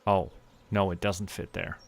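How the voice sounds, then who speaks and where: A man from Canada streaming a farming game, talking to himself in a relaxed, muttering way as he plays. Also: low-pitched, unhurried, gravelly. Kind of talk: casual gameplay commentary. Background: game music.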